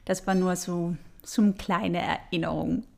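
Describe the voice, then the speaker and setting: A woman speaking German as a non-native with a foreign accent, giving an informal, unhurried explanatory talk with pauses and a playful manner. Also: on the low side, steady tone, creaky, darkish tone. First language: not German. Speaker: astrology host.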